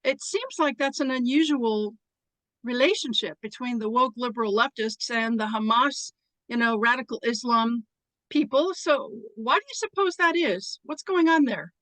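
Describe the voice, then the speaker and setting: A woman hosts an interview and asks her questions in a friendly, earnest way. Her voice sits a little high, and the recording is dry. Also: normal speed, a bit bright, no echo, rough recording. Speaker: show host. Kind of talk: hosting an interview.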